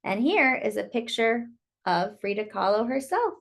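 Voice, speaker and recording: A woman with a fairly high, very animated voice reads a picture book aloud for young children. She delivers the story expressively and turns to her listeners with exclamations and asides about the illustrations. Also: moderate pace.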